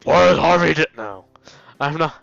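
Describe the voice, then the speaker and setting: A man's voice: on the high side, lively intonation, moderate pace, gravelly, a bit bright, dull audio, faint music behind. A man hosting a let's play, reading a visual novel aloud and breaking off into jokey exclamations.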